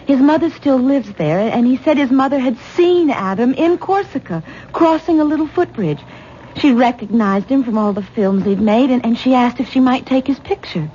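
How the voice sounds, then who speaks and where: High-voiced anxious wife, vintage radio. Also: female voice, lively intonation, warm tone. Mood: earnest, uneasy. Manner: halting, repeating words, insistent. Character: anxious, exasperated wife and young mother, adult daughter. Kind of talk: 1970s radio drama acting. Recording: dull, noisy 1970s radio broadcast recording.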